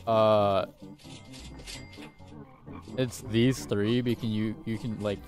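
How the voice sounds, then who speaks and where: A man's voice: lowish voice, flat delivery, slow pace, music in the background. A man in his twenties chatting casually on a game livestream through a headset mic, rambling and laughing at himself. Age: 20s. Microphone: headset mic at desk.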